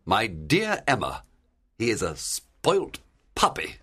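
An actor playing a blunt, critical gentleman in a dramatised period audiobook, speaking in a forthright way. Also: low-pitched, wildly swooping pitch, measured pace, dry recording, exaggerated voice. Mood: disapproving.

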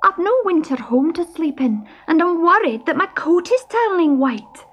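A woman's voice: very high voice, animated, moderate pace, voice pushed up. A woman voicing a small, anxious male stoat in a children's story, plaintive and pleading. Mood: worried. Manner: plaintive, exclaiming.

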